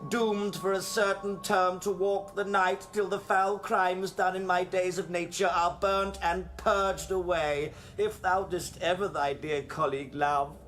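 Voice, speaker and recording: An actor playing a deranged, bitter lecturer in a radio play, declaiming grandly like a Shakespearean and breaking into outbursts. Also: male voice, sits high, flat delivery, measured pace, hardly any pauses, a bit bright, faint music behind. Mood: mock-tragic. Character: deranged theatrical male college lecturer turned gunman, manic and bitter.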